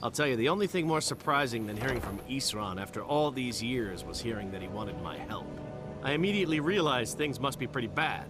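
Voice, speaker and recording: A man's voice: medium pitch, animated, fairly quick, music in the background, close to an everyday voice. Man acting a plain-spoken veteran vampire hunter. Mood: wry, reflective. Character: frank, seasoned male vampire-hunter veteran at fort gate. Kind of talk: video game character acting.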